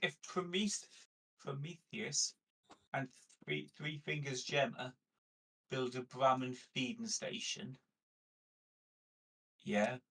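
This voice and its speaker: A man with a husky edge to his voice plans aloud as a player in a tabletop game. His talk is casual and conversational, picked up from slightly off the microphone. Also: medium pitch, fairly even intonation, slow pace, long pauses, fairly bright tone, far from the mic, low-quality recording. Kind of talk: casual group game chat, planning aloud.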